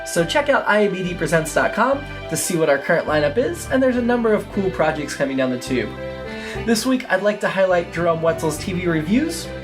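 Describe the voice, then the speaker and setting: A man introduces a podcast in a scripted, promotional hosting style. His voice sits on the high side for a male, and guitar music plays clearly behind him. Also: very animated, brisk. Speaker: podcast host and TV reviewer.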